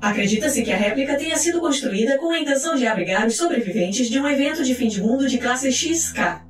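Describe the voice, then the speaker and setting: Female narrator, high register, clinical scripted read, roomy echo. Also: expressive, slight background noise. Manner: formal, measured, clinical. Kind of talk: scripted documentary-style narration. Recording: echoey voice track over music. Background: music.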